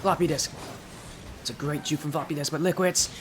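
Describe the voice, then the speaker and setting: A young man doing solo play-by-play commentary for an esports match, sounding a little stuffy and yelling in high bursts at big plays. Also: steady tone, fairly quick, a little hiss or hum. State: slightly stuffy from allergies. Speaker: esports caster.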